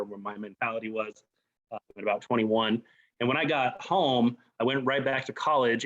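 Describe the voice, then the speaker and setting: Through a dull, lo-fi call connection, a man in his forties speaks at a medium pitch. He tells his personal story as a guest, casually and a little haltingly, with a self-deprecating touch. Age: 40s. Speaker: company president, guest speaker.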